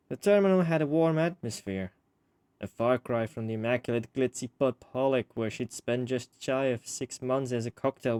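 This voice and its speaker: A man reading a visual novel aloud as an expressive storyteller, slipping into casual, wry asides. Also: medium pitch.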